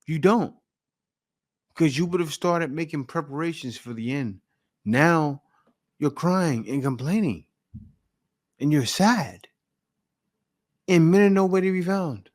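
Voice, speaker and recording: A man's voice: a little high, animated, takes it slowly, pauses often, darkish tone. A man hosting a reaction video, who speaks in a blunt, lecturing and emphatic way. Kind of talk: opinionated reaction commentary.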